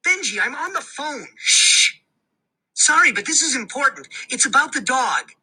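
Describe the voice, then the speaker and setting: A frazzled, exasperated mother, as a storyteller plays her, talking high and quick. Her voice is bright and a bit husky, with a lively rise and fall. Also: full character voice. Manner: frantic, exasperated. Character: flustered busy mother, adult woman.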